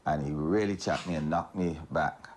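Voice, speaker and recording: A man from Barbados with a Barbadian accent, calmly recounting a personal experience in an interview. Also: extremely low pitch, moderate pace, background noise.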